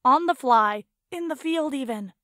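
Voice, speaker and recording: A woman's voice: high-pitched, expressive, measured pace, pushed and bright, dry recording, studio quality, full character voice. A woman voicing a blunt, argumentative young squad member in a visual novel, matter-of-fact and challenging.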